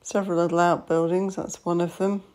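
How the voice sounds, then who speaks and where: A woman's voice: lowish voice, level tone, fairly quick, darkish tone. A woman estate agent narrating a walkthrough of a house, listing its features matter-of-factly in short phrases. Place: inside an old house.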